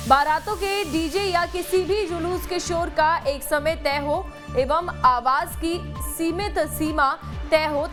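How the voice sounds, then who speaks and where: A woman reading and discussing news as an anchor, emphatic and given to repeating key phrases. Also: sits high. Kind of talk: broadcast news reading and questioning.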